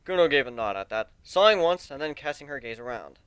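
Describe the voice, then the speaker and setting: Male narrator for dramatic fiction reading. Lively, varied melody, dry and intimate recording. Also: mid-range voice, fast, clean tone, no room sound.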